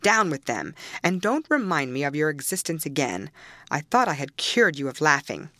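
A woman's voice: deep voice, very animated, normal speed, bright, forceful tone, dry recording, exaggerated voice. A woman plays a harsh master of the house in a storybook. He is menacing and curt, giving orders with open contempt. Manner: scornful, commanding, curt.

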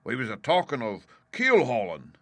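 A man's voice: medium pitch, huge pitch swings, moderate pace, gravelly, sharp tone, no room sound, voice pushed up, exaggerated voice. A dim old sailor, played by a male narrator, sheepish and hesitant. He answers meekly and plainly, as a storybook character. The sound is close and dry. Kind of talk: audiobook character voice.

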